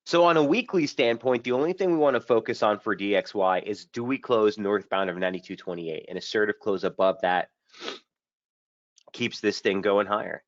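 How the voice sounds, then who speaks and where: A man hosting a live trading webinar, talking through charts in a brisk, casual way with many fillers, a bit hoarse from feeling under the weather. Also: lowish voice, dry recording. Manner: brisk, casual trader talk with frequent fillers. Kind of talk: live market analysis webinar. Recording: dull, dry lo-fi stream audio.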